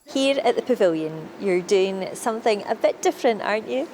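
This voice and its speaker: A woman's voice: medium pitch, animated, brisk, a little mellow, a little hiss or hum. A woman working as a TV news reporter, narrating from a script and interviewing.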